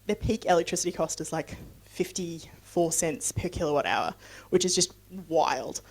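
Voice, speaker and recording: Woman from new zealand, guest speaker, somewhat low voice, chatty talk full of fillers and asides. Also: normal speed, gravelly. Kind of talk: conversational presentation with audience questions.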